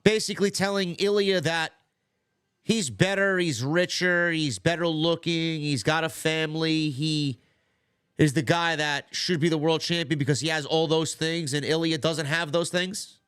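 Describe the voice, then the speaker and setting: A man's voice: a little high, fairly even intonation, moderate pace, fairly bright tone, clean tone, no room sound, studio quality. A man from New York hosting a casual watch-along livestream, blunt and profane, sounding stuffy and nasal from congestion. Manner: blunt, swears a lot, restarts often. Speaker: livestream host.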